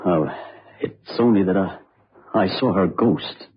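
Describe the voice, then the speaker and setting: An actor playing an inquisitive amateur sleuth in an old-time radio mystery. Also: male voice, deep voice, unhurried, slightly rough, heavily acted. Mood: probing. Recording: dull, noisy old radio recording.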